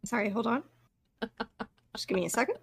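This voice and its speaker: A woman's voice: a little high, animated, a little fast, vocal fry, slight background noise. A woman chatting casually as a podcast co-host.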